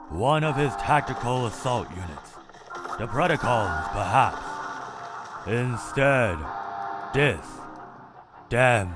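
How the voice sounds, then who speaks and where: A man voicing a cold, logical male robot scientist who narrates in a clinical, measured, detached way for a comic dub. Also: medium pitch. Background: loud music.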